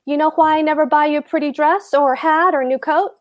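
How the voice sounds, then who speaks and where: A woman's voice: high voice, steady tone, brisk, close to an everyday voice. A woman reading the part of a pompous, anxious husband, a fussy government clerk, at a table read of a stage comedy. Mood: lecturing, fretful. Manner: pompous, hectoring, fretful.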